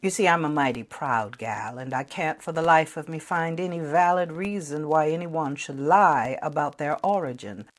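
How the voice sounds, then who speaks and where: Low-voiced, proud 1940s actress, played by a woman. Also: moderate pace, few pauses, lightly acted. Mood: proud, resolute. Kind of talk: dramatic quote reading in a history program.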